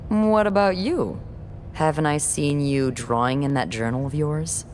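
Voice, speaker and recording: An actress playing a wry, warm, teasing young hotel receptionist in a video game, with an expressive, conspiratorial way of talking. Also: female voice, low voice, unhurried, a little hiss or hum. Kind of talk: naturalistic video game acting.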